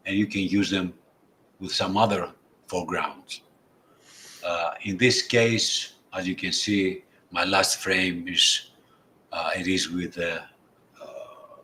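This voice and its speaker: A man with a Greek accent who learned English as a second language. His voice sits low, and he speaks haltingly, with frequent fillers, restarts and apologies. He is on a video call. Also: bright, forceful tone, a little hiss or hum, low-quality recording. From Greece. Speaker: guest presenter. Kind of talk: casual livestream chat.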